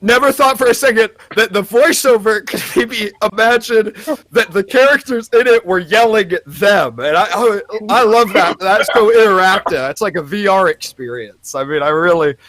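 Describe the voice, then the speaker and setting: A man's voice: high-pitched, moderate pace, fairly bright tone, dry recording. A man playing a gushing, rambling ad-agency executive in improvised comedy banter. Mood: effusive. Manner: rambling, effusive, hedging.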